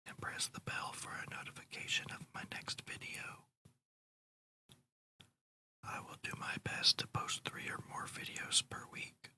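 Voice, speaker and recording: The voice is a fully whispered, breathy one with a bright, airy edge. It guides a meditation in ASMR style, moving slowly and deliberately. The delivery is soothing throughout.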